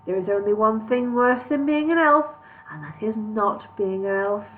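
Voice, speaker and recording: A woman's voice: mid-range voice, expressive, moderate pace, phone-quality sound, slight background noise, natural voice. Woman doing a languid, haughty elf lord. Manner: languid, drawling.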